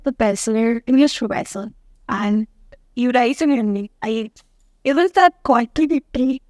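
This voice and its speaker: A woman hosting an interview, asking her questions in a halting way with restarts. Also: a little high, a little mellow, no echo, clean studio sound. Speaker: host.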